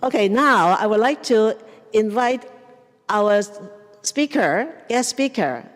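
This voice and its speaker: A woman's voice: a little high, expressive, takes it slowly. A woman in her sixties with a Cantonese accent, chatting informally as she introduces speakers at a community event. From Hong Kong or southern China, in Canada since 1975. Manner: chatty, informal, mixes up pronouns. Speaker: event host, opera organizer. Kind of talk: casual introductions at a public event. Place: museum event hall, indoors. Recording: reverberant hall.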